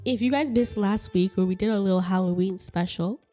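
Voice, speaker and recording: Middle-aged woman, raspy, hoarse from a cold, stuffy nose, mellow warm tone, rambling podcast host. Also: medium pitch, brisk, soft background music. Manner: chatty, rambling, laughs often. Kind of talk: casual chat.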